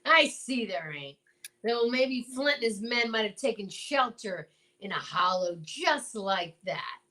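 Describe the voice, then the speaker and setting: A woman playing a stubborn, folksy small-town male marshal in an old-time radio drama, blustering and halting. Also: a little high, expressive, sharp tone. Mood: exasperated.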